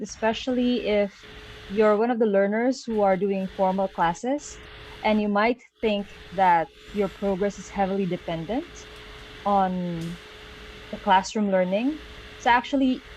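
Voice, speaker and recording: A woman's voice: medium pitch, darkish tone, slight background noise, rough recording. A woman, a Tagalog speaker, giving a guest presentation over a video call, explaining things with frequent okays and ums. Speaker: guest speaker and Spanish teacher. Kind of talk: online presentation.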